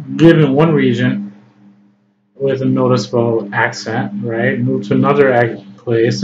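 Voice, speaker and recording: A man with a Chicago accent, an English tutor giving an informal lesson to camera, often checking in with "right?" and "okay?". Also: mid-range voice. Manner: conversational, frequent "right?" and "okay?" check-ins, many ums. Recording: slightly dull lo-fi audio with faint noise.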